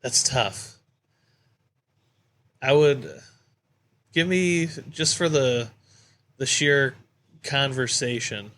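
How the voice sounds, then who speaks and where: A man's voice: mid-range voice, animated, drawn-out words, long pauses, bright, forceful tone. A man co-hosting a podcast in casual, conversational chat. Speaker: podcast co-host.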